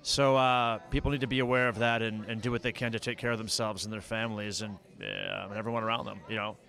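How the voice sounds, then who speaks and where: A man giving casual, halting interview answers at a red carpet event, often repeating his words. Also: on the low side, little pitch movement, gravelly, sharp tone. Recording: outdoor crowd noise behind voice.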